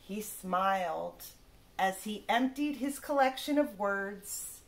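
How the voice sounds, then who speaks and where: Woman, thirties, storybook read-aloud, very slow pace, lively pitch swings, careful word-by-word emphasis, indoor recording. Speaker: teacher reading aloud to children. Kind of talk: expressive read-aloud of a children's picture book. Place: small living room, indoors.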